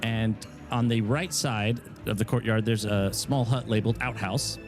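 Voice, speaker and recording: A man running a tabletop game as game master, setting scenes in a steady, descriptive way. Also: fairly low pitch, moderate pace, music in the background.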